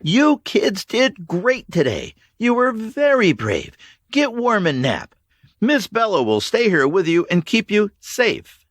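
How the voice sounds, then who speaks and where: A man voicing a tired, determined woman who leads a group of children through a ruined city, speaking in steady, encouraging commands. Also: sits high, slow pace, no echo, clean studio sound, voice pushed up, exaggerated voice.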